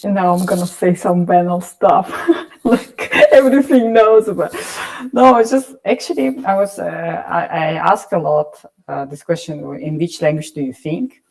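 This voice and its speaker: Woman, low mellow voice, halting and thoughtful, panel speaker. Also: low-quality recording. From Russia. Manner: thoughtful, searching for words, frequent restarts. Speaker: panelist, poet. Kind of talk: panel discussion.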